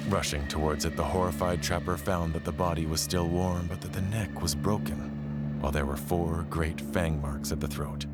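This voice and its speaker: A man gives a measured, solemn, literary narration of a scripted horror tale. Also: deep voice. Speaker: storyteller narrating an audio play. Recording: music behind the voice. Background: background music.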